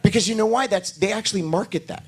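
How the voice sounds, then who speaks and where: A hoarse male pastor preaching a sermon in a church, rising into emphatic declarations. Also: high voice, quick pace, darkish tone.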